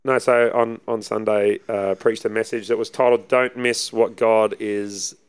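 A man, husky from preaching, chatting casually and rambling as an assistant pastor co-hosting a podcast. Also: on the low side, little pitch movement, measured pace, dry recording. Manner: easygoing, rambling with many fillers. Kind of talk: casual chat.

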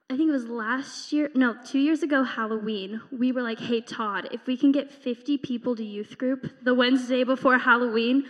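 A teenage girl speaking at a youth church service, telling stories in a chatty, upbeat way. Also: on the high side. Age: teens. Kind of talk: church testimony talk. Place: church sanctuary. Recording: long hall reverb.

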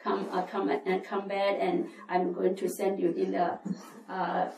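A woman's voice: mid-range voice, completely flat delivery, a little slow, creaky, darkish tone, roomy sound, far from the mic, a little hiss or hum, rough recording. A woman from Burma, telling her life story in hesitant at church. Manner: plain, hesitant, many uh fillers. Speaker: refugee giving testimony. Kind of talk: church testimony, non-native English.

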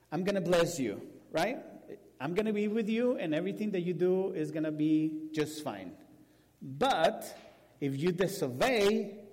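A man with a Spanish accent, whose first language is Spanish, preaching a sermon and telling a story with animated restarts. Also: on the high side. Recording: long hall reverb.

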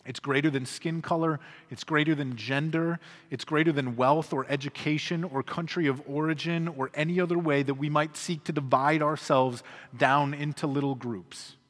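A man preaching with earnest emphasis, his voice sitting a little high for a man. His phrases rise with force and then drop low at their ends. A long church reverb trails every line. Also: fairly even intonation, normal speed. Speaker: pastor. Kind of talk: sermon. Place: church sanctuary.

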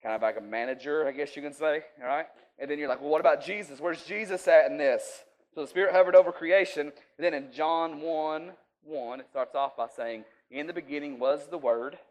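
A man's voice: a little high, brisk, rough recording. A man preaching an informal sermon in a folksy, conversational way, checking in with his listeners often. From rural US.

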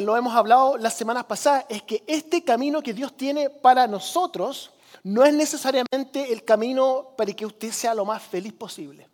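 A man from Chile with a Chilean accent, giving a sermon as a preacher in a conversational manner, repeating phrases for emphasis. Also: very high voice. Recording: long hall reverb.

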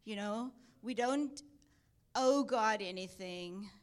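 A woman's voice: medium pitch, slow pace. A woman from South Africa with a South African accent touched by Texas, speaking earnestly at church as a missionary guest, with frequent ums. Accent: South African, with some Texan. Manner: earnest, with soft laughs and many ums. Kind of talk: church testimony and exhortation.

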